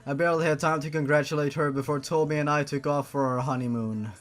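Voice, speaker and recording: Someone reading as a gentle, slow-speaking storytelling aunt. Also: medium pitch, fairly even intonation, a little hiss or hum, lightly acted. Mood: fond, nostalgic. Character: gentle storytelling aunt narrating to children at bedtime. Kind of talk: dramatic reading of amateur fan fiction.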